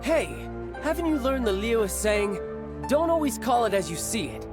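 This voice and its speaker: Playful scheming young fighter. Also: female voice, fairly high pitch, big pitch swings, moderate pace. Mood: indignant. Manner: playful, teasing, lilting. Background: music.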